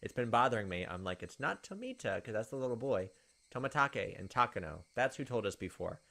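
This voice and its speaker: A man's voice: medium pitch, sing-song, a little fast, creaky, sharp tone. A young man hosting a gaming video, reading a visual novel aloud with casual asides.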